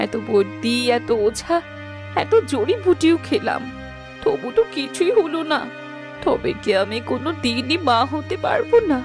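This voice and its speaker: Woman as a high-voiced, yearning village wife. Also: sing-song, a little slow, over music, full character voice. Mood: sorrowful. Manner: pleading, plaintive. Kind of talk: melodramatic cartoon fairy-tale voice acting.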